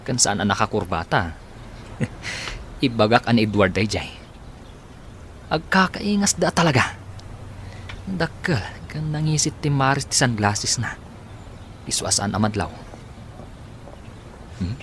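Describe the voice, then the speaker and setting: Give the part to a man playing an insistent, puzzled young working man in an Ilocano radio comedy. His pitch moves in lively swings as he muses and questions. There is faint background noise behind him. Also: measured pace, long pauses. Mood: puzzled musing.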